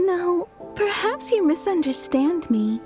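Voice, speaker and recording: A high-voiced, lively young townswoman in an anime game. She talks with very animated, teasing emphasis, amused yet fair-minded. Music plays clearly behind her. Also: slow, full character voice. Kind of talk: anime video game dialogue.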